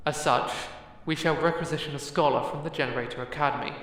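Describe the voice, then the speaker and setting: A woman's voice: sits low, fairly even intonation, fast, heavy reverb, in a large hall, rough recording. Solemn, imperious council leader, acted by a woman. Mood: solemn, official. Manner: formal, ceremonious, declamatory. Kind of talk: fantasy audio drama acting.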